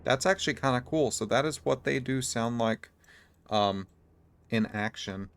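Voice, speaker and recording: A man giving casual, low-key, explanatory video game commentary. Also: a little slow, fairly bright tone.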